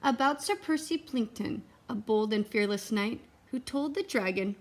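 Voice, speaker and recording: A woman with a slightly high voice reads a children's picture book aloud. Her delivery is expressive and geared to young listeners, with simple explanations along the way. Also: normal speed. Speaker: read-aloud teacher and storyteller.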